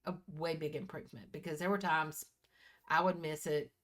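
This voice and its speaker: Woman, early sixties, slightly low voice, casual vlog chat, folksy, candid, self-correcting. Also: fairly even intonation, normal speed. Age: early 60s. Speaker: vlogger. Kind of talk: casual personal chat to viewers.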